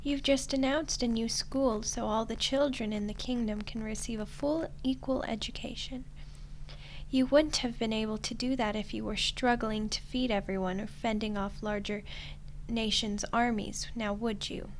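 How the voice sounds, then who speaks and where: A woman playing a smug, teasing archdemon in a roleplay ASMR style, soft and condescending, with an unhurried delivery. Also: on the high side, steady tone, slight background noise, natural voice, low-quality recording. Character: smug, teasing female archdemon toying with a human ruler.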